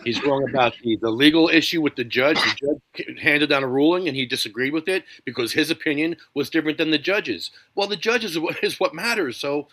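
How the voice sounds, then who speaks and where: A man with a slightly high voice who talks fast and insistently. He presses his point critically, repeating himself for emphasis in casual conversation. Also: fairly bright tone. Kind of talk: casual chat.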